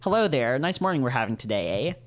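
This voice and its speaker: A man's voice: medium pitch, big pitch swings, fairly quick, lightly acted. A man voicing a friendly, proper young male newcomer in a visual novel, polite and slightly awkward.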